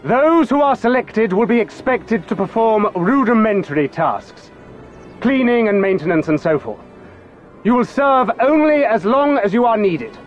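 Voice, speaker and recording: A condescending imperial officer from a video game. His high, very animated voice offers formal, theatrical reassurance with cold menace underneath. Music plays behind the slightly muffled recording. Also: a little slow. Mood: smooth menace. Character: smug male imperial officer, coldly menacing, falsely reassuring. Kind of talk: video game cutscene acting.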